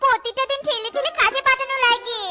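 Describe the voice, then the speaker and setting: Squeaky, whiny henpecked cartoon husband. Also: female voice, steady tone, fast talker. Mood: grumbling. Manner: whiny, self-pitying. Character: weary, grumbling henpecked cartoon animal husband. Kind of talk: children's cartoon dubbing. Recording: loud children's music behind voice.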